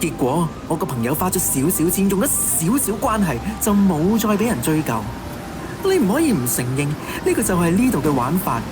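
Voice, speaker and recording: An actor with a Hong Kong Cantonese accent playing a fanatical, cynical killer who styles himself an executioner, in theatrical, taunting radio drama monologue. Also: male voice, high voice, very animated, brisk, music in the background. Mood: cynical, preaching.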